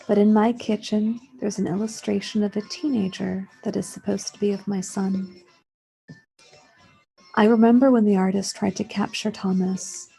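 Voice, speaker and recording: A woman's voice: medium pitch, dark tone, husky, rough recording. A woman reading a literary short story aloud in the first person, as a scripted narration.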